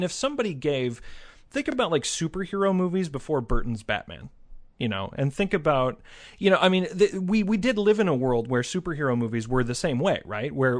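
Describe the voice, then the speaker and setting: A man discussing films casually on a podcast, thinking aloud in a halting way with repeated words. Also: a little high. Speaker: film podcast panelist.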